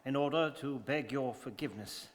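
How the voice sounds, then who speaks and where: A man playing a reserved, precise English gentleman in a radio drama, speaking formally and with stoic restraint. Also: medium pitch, moderate pace, a little hiss or hum, close to an everyday voice. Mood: grave, resigned. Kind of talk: stage radio drama acting. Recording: very reverberant studio hall.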